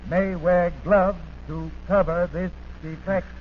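An American man reading a police bulletin as a 1940s radio announcer, in deliberate staccato phrases with details repeated, on an old broadcast recording. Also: high voice, little pitch movement, narrow, tinny audio, heavy background noise.

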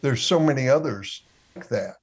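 A man's voice: medium pitch, expressive, normal speed, slightly rough. A man co-hosting a casual sports talk panel, who poses long, probing questions to the others.

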